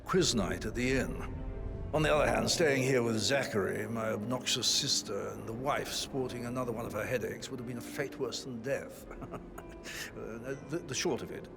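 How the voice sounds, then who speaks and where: A smug, cynical English heir with a creaky, swooping voice. He is sardonic and flippant, a game cutscene character speaking over music. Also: medium pitch. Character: smug, cynical son of a rich English family. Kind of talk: video game cutscene acting. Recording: music under the voice.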